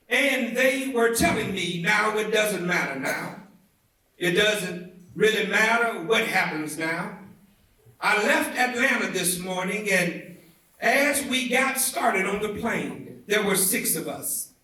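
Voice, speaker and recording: A man giving a dramatic, oratorical reading of a speech, with deliberate, measured phrasing and emphatic swells. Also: sits high, bright, forceful tone. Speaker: reader at a commemorative event. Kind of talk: dramatic reading of a historic civil rights speech. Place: indoor theatre event, heard from a distance. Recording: echoey indoor hall, far from the mic.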